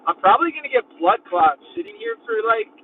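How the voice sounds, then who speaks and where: A young man rambles through a road-trip vlog from behind the wheel of his van, his voice high and bouncing all over. He sits away from the mic, so he sounds thin and phone-like, with faint road noise underneath. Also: very animated, fast talker, recorded from a distance. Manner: rambling, heavy use of "like". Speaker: vlogger. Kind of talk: casual road-trip vlogging. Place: inside a van while driving. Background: faint road and engine noise.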